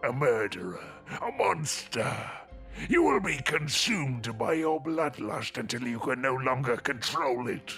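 Monstrous male vampire: commanding menace, forceful edge, wide theatrical swings, music underneath. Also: mid-range voice, sing-song, exaggerated voice. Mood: solemn, ominous. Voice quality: gravelly. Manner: slow, menacing, theatrical delivery. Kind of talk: audio horror drama acting.

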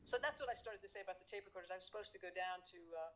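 A middle-aged woman talking on a video call from her home studio, lively and chatty, telling stories with quick laughs. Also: medium pitch, a little hiss or hum. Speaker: guest, guitar professor. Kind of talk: casual video-call interview. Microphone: webcam on video call.

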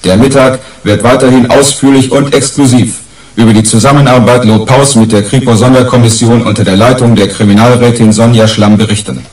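A male actor is the voice of a sensational newspaper report, formal and self-important. His mid-pitched delivery rings in an echoey space, slightly away from the mic, in radio-play style. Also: steady tone, brisk, darkish tone, natural voice. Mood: proclaiming. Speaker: reader of newspaper articles. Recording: distant, echoey room sound.

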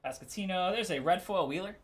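A man's voice: high-pitched, expressive, fast talker. A man hosting a live sports card break, giving casual, laid-back commentary in short clipped call-outs.

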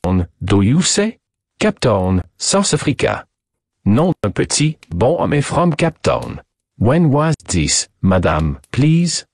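A man voicing a theatrical, excitable French detective with a French accent, gallant manners and broken English in a story reading. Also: mid-range voice, slow, no echo, exaggerated voice. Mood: eager, polite.

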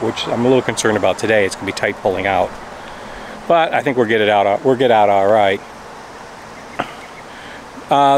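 A middle-aged man talks to a camera held at arm's length at an outdoor campground. He explains things in a plain, practical way. Faint outdoor noise sits under his voice. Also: lowish voice. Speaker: travel vlogger. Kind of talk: casual travel vlog talk.

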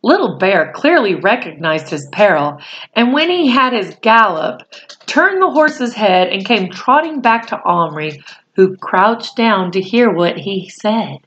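Slow, deliberate woman reading aloud. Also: mid-range voice, big pitch swings, fairly bright tone, dull audio. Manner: deliberate, pausing phrase by phrase, explaining words. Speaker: teacher reading aloud to children. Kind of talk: storybook read-aloud with explanatory asides. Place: small room at home, indoors.

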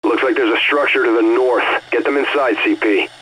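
A man playing a brisk military air-recon officer in a game, making clipped, authoritative radio calls. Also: mid-range voice, steady tone, a bit bright, slight background noise, close to an everyday voice, rough recording. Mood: alert, urgent.

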